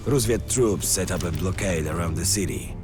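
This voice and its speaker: An actor playing a grim middle-aged resistance commander in a video game cutscene, terse, commanding and fervent. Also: male voice, low-pitched, a little fast, lightly acted. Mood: gruff, commanding. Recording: music behind the voice.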